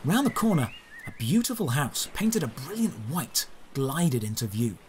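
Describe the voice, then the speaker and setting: A man narrating a scripted first-person fantasy audio drama, with measured, descriptive, dramatic phrasing. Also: fairly high pitch, very animated, noisy background.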